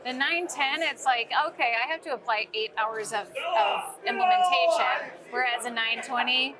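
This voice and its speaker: Higher-pitched woman explaining over crowd noise. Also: animated, moderate pace. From St. Louis, United States. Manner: explanatory, conversational. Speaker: product manager, interview guest. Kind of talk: informal product explanation in interview. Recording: crowd chatter at busy event. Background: crowd at a sales meeting.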